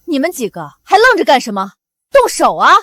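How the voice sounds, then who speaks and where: Sneering high-voiced noblewoman villain. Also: huge pitch swings, brisk, a bit bright, no room sound, studio quality, exaggerated voice. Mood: gloating. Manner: sneering, haughty, scornful. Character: arrogant cruel pregnant noblewoman villain. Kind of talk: costume drama acting.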